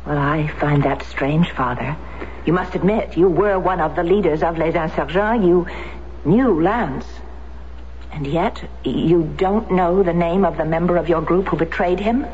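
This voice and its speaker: An actress playing a middle-aged, determined American war widow in a radio drama, speaking earnestly and insistently. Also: female voice, fairly low pitch, a little slow, a little mellow, close to an everyday voice. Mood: challenging, stubborn. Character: determined American war widow seeking her husband's betrayer. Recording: dull, noisy vintage radio recording.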